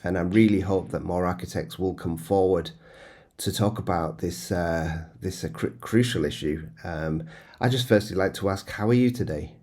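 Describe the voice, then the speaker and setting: A man hosting a podcast interview, speaking in a measured way with some hesitant restarts. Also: low-pitched, a little mellow. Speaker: podcast host.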